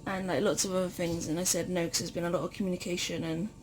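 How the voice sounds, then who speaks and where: A woman hosting a casual vlog, chatting informally and haltingly to the camera. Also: on the low side, level tone, slight background noise, rough recording. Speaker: vlog host.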